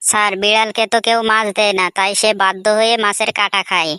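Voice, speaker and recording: A woman's voice: mid-range voice, level tone, fast, pushed and bright, smooth, no echo, studio quality, natural voice. A woman voicing a cheeky, dim-witted job candidate in a comic cartoon, mock-polite and answering back. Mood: deadpan cheek.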